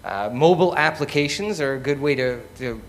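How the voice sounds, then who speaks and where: A man leading a live project pitch as main presenter, speaking in a conversational way. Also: lively intonation, fairly quick, slight background noise. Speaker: lead presenter.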